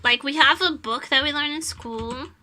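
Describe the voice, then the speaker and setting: A woman's voice: high-pitched, normal speed, sharp tone. A young woman from Portugal chatting casually on a live stream, answering chat and laughing often.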